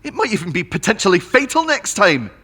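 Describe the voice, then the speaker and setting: An actor playing an anxious, protective household employee in an audio drama, alarmed and argumentative. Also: male voice, high voice, sing-song, a little fast, sharp tone, husky, exaggerated voice. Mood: worried, argumentative.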